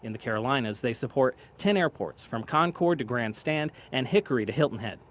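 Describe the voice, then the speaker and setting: A man's voice: mid-range voice, lively intonation, a little fast. A man narrating a scripted television news report.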